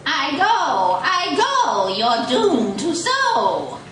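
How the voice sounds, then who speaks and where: A woman's voice: high voice, wildly swooping pitch, fairly bright tone, a little hiss or hum, exaggerated voice. A woman playing an imperious, righteous old witch chanting spells in theatrical radio-serial style. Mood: imperious incanting. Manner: theatrical incantations, drawn-out. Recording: echoey live coffee house, distant mic.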